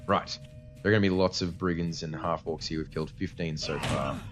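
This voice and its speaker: A man's voice: sits low, fairly even intonation, fairly quick. A man giving casual, explanatory commentary as a let's play host, his voice tired and cutting out slightly from a cold. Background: game music.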